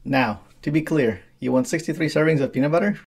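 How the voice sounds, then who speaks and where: A woman voicing a blunt, muscular young-sounding male shop clerk in a fan fiction story, gravelly and quick with his questions. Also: sits low, darkish tone, pitched below the performer's own voice. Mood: incredulous.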